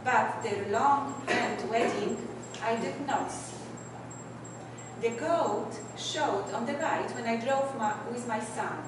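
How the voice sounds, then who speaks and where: Soft-voiced woman poet, far from the mic. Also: a little high. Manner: hesitant, halting between asides. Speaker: poet reading her work. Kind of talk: poetry reading with asides. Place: event venue, indoors. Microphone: no microphone. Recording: echoey room from a distance, loud background noise.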